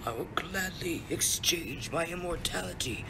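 Man voicing a breathy, high suffering centaur. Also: unhurried. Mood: suffering, yearning. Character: suffering, pleading immortal centaur longing for death. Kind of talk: radio play acting. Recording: very noisy amateur recording.

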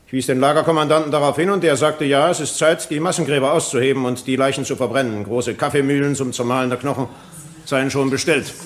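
A male actor performs a former police colonel in a courtroom radio drama. He is a man with a mid-pitched voice who testifies evasively and keeps justifying himself. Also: fairly even intonation, fast talker, lightly acted. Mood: defensive, agitated.

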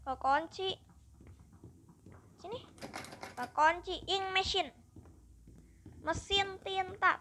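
A gaming YouTuber giving upbeat, chatty let's-play commentary and constantly addressing the viewers. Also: female voice, high voice, bright, forceful tone, slight background noise.

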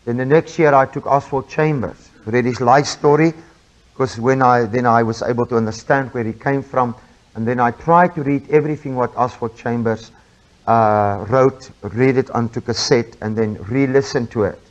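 A man's voice: medium pitch, moderate pace, dull audio. A man with an Afrikaans accent giving an informal sermon, chatty and full of fillers and restarts. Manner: chatty, self-interrupting with frequent fillers. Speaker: preacher.

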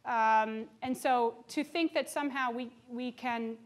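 A woman with a slightly high voice answers questions from a lecture hall stage. She is thoughtful and restarts often, trailing into asides before returning to her point. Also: steady tone, a little slow. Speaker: keynote speaker answering questions.

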